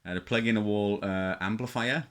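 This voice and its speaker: A man's voice: on the low side, brisk, a bit bright. A middle-aged man from Wales with a South Welsh accent, talking off the cuff in a hesitant, rambling, reminiscing way as he shows his hobby. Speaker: radio hobbyist presenter. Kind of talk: casual unscripted show-and-tell.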